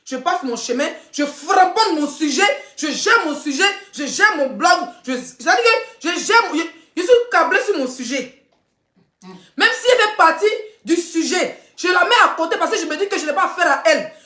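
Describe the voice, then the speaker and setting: A woman's voice: extremely high pitch, lively intonation, moderate pace, pushed and bright, echoey room. A woman, hosting a rambling gossip live stream and often laughing mid-sentence. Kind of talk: casual live-stream commentary.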